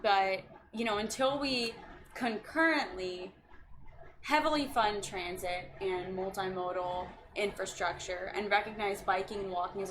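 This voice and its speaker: A woman in her twenties, a county district staff member, talking earnestly and passionately about local policy in a casual chat. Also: medium pitch. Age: 20s. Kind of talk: casual chat on a video stream. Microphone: video call. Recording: noisy recording.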